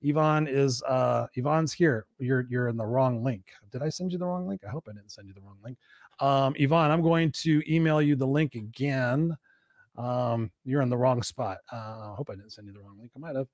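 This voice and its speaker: A man's voice: medium pitch, moderate pace, darkish tone, dry recording, clean studio sound. A man hosting a live online talk show, rambling and upbeat with many fillers. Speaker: host.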